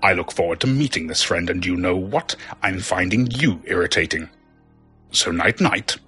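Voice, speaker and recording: A man's voice: low voice, expressive, normal speed, sharp tone, husky, exaggerated voice. A man voicing a menacing, coldly mocking male warlord villain in a grandiose, commanding manner. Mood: cold amusement. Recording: music under the voice.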